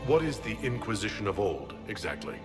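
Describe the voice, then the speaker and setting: An actor playing a wary, skeptical reluctant hero in a video game, questioning and challenging those around him. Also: male voice, lowish voice, big pitch swings, a little fast. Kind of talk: dramatic video game acting. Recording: long hall reverb, music underneath.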